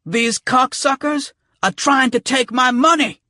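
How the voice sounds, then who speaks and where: Man voicing a shrill, furious street woman. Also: unhurried, no room sound, clean studio sound, full character voice. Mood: furious, threatening. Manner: shouting, indignant street slang. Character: foul-mouthed, shrill, furious young bar prostitute woman. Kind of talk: audiobook character voice.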